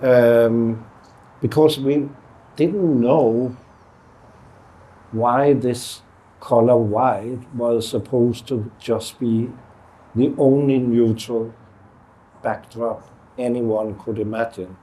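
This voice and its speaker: A middle-aged man, speaking as an artist in a relaxed conversation and pausing often to search for words. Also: medium pitch, poor audio. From Denmark. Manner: halting, searching for words with many fillers. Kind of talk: casual conversation.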